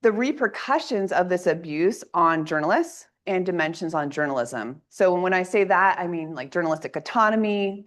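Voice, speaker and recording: A woman, an assistant professor, gives an academic presentation in a lecture room, her voice a little raspy from a coming cold, speaking in a clear, organized way. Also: on the low side. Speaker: assistant professor giving a workshop talk.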